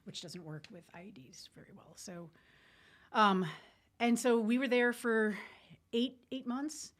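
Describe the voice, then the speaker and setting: A woman's voice: a little high, unhurried. A woman telling a story informally as a podcast guest, candid and colloquial, with military jargon.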